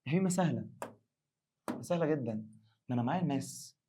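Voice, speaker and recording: A male science teacher with an Egyptian Arabic accent, hoarse, giving an animated exam revision lesson and acting out students' questions. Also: medium pitch, very fast, background noise.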